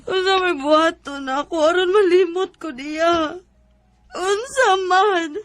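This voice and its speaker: Radio drama lead: pleading, lovesick young Cebuano woman, high pitch, close dry sound. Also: a little slow, no echo, soft background music. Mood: heartbroken. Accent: Cebuano Filipino.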